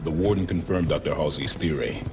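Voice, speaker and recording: An actor playing a grave, composed military officer in a video game briefing, with a gravelly voice and measured delivery. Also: male voice. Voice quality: deep, gravelly. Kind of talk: cinematic video game acting. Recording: loud game music behind.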